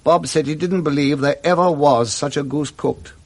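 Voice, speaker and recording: A man with a British accent narrating a literary story for radio drama, with expressive, measured dramatic phrasing. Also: on the high side.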